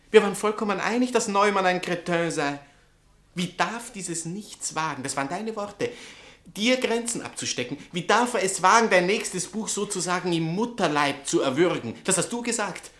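A man's voice: high-pitched, very animated, moderate pace, fairly bright tone. An actor playing a vain, scornful male writer and spurned lover in a satirical stage comedy, pompous and declamatory. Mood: indignant, blustering. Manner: pompous, theatrical, declamatory, swinging from outbursts to sneers. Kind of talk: theatrical satirical comedy acting.

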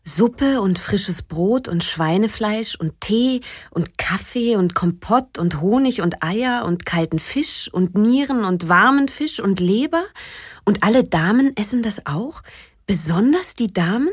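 A woman acting a breathy, astonished German Fräulein. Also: medium pitch, lively intonation, unhurried, dry recording, studio quality. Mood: astonished, nosy. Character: astonished German young lady gossiping at dinner. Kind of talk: audiobook character voice.

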